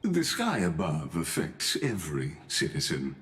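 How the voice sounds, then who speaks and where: A man playing a gentle, humble scholarly retainer in a video game, speaking in a formal, deferential and measured manner. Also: very deep voice, huge pitch swings, slight background noise, exaggerated voice. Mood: solemn, explanatory. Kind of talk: video game character acting.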